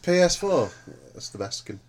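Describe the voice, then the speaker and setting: A middle-aged man with a loud, booming voice, hosting a casual family podcast and steering the talk along. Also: sing-song, moderate pace. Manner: laid-back, repeats "right" to steer the talk.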